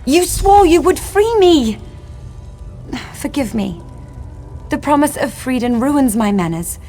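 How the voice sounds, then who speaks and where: An actress playing a long-imprisoned elven wife in a fantasy game, speaking formally and solemnly, with bitterness and longing. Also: female voice, high-pitched, lively intonation, slow pace, dark tone, faint music behind. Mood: desperate. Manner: formal, archaic, solemn phrasing. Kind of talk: scripted fantasy game dialogue.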